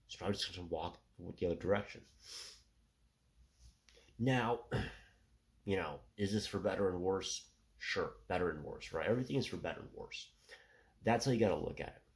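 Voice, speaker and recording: Man in his 30s, deep voice, stuffy nose, rambling solo monologue, lots of "you know". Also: moderate pace, long pauses. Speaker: solo commentator.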